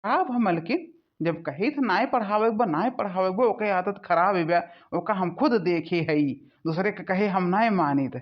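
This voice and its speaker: A woman's voice: mid-range voice, animated, moderate pace, highs cut off, close to an everyday voice. A woman voicing a stubborn old father-in-law in a village cartoon, grumbling and dismissive, with an Awadhi accent. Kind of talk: animated comedy dialogue.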